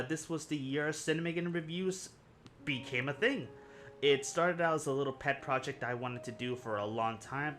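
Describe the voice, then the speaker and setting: A man's voice: mid-range voice, a bit bright, a little hiss or hum. A young man from Puerto Rico hosting a film review channel, telling a personal story in an earnest, rambling way that often corrects itself. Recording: music playing underneath.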